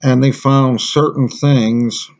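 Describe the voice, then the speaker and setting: A man's voice: medium pitch, steady tone, slow, a little mellow. An American man giving a casual, unscripted gear review as a survival instructor, plain-spoken and rambling.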